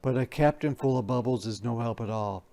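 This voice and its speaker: Man reading as earnest, creaky-voiced palace page. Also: medium pitch, a little fast, warm tone, voice pushed down, lightly acted. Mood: apologetic. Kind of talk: storybook character reading.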